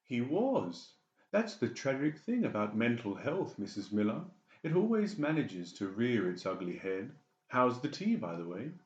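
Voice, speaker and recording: Audiobook villain played by a man: oily, over-polite psychiatrist, lifted pitch, roomy sound. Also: very animated, unhurried, highs cut off. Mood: feigned concern. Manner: exaggerated sugary politeness. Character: falsely sweet, sinister male psychiatrist, oily and scheming. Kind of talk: dramatised audiobook character voice.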